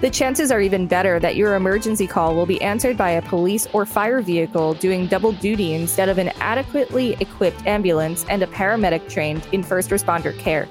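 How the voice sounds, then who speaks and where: A woman's voice: medium pitch, brisk, few pauses, music in the background. Woman podcast host reading aloud. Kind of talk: scripted encyclopedia reading with brief commentary.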